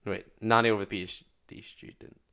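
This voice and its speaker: A man giving casual, slangy reaction commentary as a streamer, with blunt loud outbursts. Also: on the low side, level tone, brisk, vocal fry, smooth.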